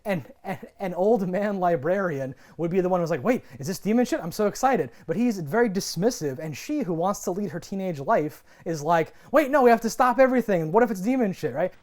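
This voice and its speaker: A man's voice: high voice, animated, fairly quick, hardly any pauses, a little mellow. A man co-hosting a casual recap chat, rambling and sweary, often acting out quotes. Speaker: podcast co-host.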